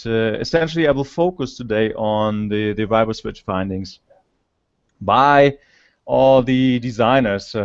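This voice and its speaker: A man with a German accent giving a remote conference talk, halting with frequent fillers and restarts. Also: on the low side. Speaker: conference speaker. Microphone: video call. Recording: dull lo-fi call audio.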